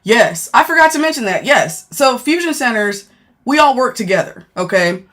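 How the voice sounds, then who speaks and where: A woman with a deep voice chatting casually on a phone livestream, speaking bluntly and thanking viewers as she goes. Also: animated, moderate pace, fairly bright tone. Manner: blunt, frank, thanks viewers mid-sentence. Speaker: livestreamer and former law enforcement worker. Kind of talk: casual live-stream chat reading comments.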